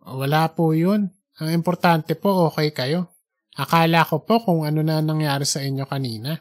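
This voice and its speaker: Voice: medium pitch, steady tone, moderate pace, lightly acted. A narrator voicing a respectful, reassuring young man in an audiobook story, polite and earnest. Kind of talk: audiobook character dialogue.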